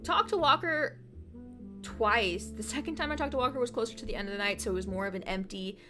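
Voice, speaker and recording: A woman in her twenties chatting on a livestream, telling excited stories in a casual, rambling way. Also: fairly high pitch, fast, over music. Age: 20s.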